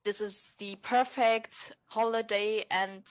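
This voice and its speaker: A woman's voice: medium pitch, steady tone, slow pace, low-quality recording. Cheerful woman hosting a podcast. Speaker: podcast host.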